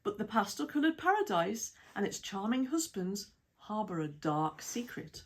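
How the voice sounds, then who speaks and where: A woman with a British accent reading an article aloud in a measured, even way, with lists of titles and dates. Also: medium pitch. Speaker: reader of an article. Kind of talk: read-aloud essay narration.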